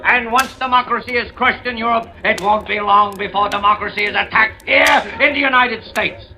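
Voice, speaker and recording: An actor playing an impassioned street-corner political orator declaiming to rally volunteers in an old-time radio drama. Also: male voice, high-pitched, steady tone, moderate pace, bright, forceful tone, exaggerated voice. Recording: crowd noise behind vintage radio voice.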